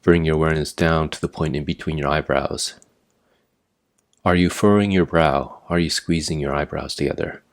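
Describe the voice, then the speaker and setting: Very deep-voiced man guiding meditation. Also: steady tone. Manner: measured, imperative step-by-step guidance. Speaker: meditation instructor. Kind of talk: guided meditation narration, instructional.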